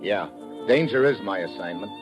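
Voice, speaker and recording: A man's voice: fairly low pitch, animated, moderate pace, highs cut off, natural voice. A man playing a tough, wisecracking American government agent in a hard-boiled, wry 1950s radio drama style. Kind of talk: 1950s radio drama acting and first-person narration. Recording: old radio broadcast with music.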